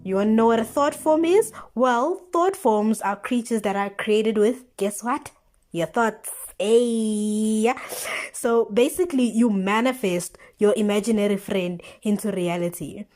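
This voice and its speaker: Young South African woman, mid-pitched, casual vlogger storytelling, slangy and expressive. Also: a little slow. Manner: animated slangy retelling with exclamations and rhetorical questions. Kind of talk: casual chatty storytelling commentary.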